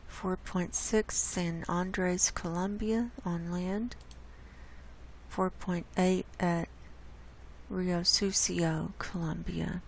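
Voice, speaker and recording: Hoarse lady going over quake maps, low flat voice, slow and gravelly, a little hiss behind her. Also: rough recording. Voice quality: gravelly, coughs and clears throat. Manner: unhurried, talks through maps pointing things out. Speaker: online science channel host. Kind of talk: casual screen-share data report. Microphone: desk mic.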